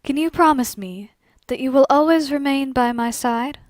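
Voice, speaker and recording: A poised young aristocratic lady played by a woman in a fan fiction reading. Her voice is high and closely recorded with little room sound. She speaks formally and with measured, genteel composure. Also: dry recording, close to an everyday voice. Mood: gentle, earnest. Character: composed, elegant young gambling lady in Gothic dress.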